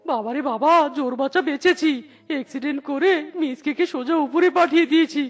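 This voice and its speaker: Very high pitch, woman acting as male animal hawker, flirty and fretful, exclamatory, cartoon, faint music. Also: quick pace, lightly acted. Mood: panicked. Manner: singsong, exclamatory. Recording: light cartoon music behind voice.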